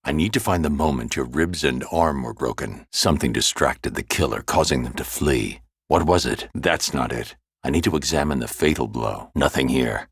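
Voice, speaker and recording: A grim, brooding vigilante detective for a game, with a voice that sits extremely low and rumbles. He talks in a clipped, methodical way, recorded close and dry in a studio. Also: moderate pace, slightly rough, dry recording. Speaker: video game character. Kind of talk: game voice acting. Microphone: close studio mic.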